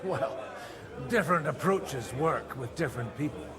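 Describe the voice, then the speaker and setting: An actor playing a shrewd, worldly priest in a medieval video game, wry and persuasive in manner. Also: male voice, medium pitch, expressive, moderate pace, a crowd in the background, lightly acted. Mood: wry, gossipy. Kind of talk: dramatic video game voice acting.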